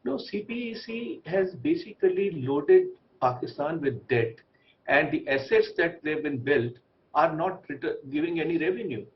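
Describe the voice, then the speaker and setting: A man comments as an expert on a news debate. His voice sits a little high and he speaks in halting, deliberate phrases. The sound is slightly muffled. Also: lively intonation. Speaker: TV debate guest, former ambassador.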